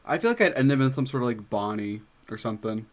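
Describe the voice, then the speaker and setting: A man hosting a casual fan podcast over an online call, earnest and easily excited. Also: mid-range voice, fast. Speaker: podcast host. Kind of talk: casual chat.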